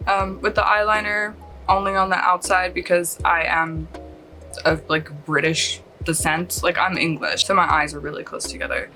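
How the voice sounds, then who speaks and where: A fairly low-pitched woman from Australia, her accent mostly Californian with Australian sounds slipping back in. She rambles casually through a makeup tutorial in her late thirties, joking at her own expense and laughing often, with faint guitar music behind her. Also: little pitch movement, normal speed, pushed and bright. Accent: mostly Californian with some Australian coming through. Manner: chatty, rambling and self-deprecating, with frequent laughs. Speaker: beauty vlogger. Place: at home, lit by a close lamp.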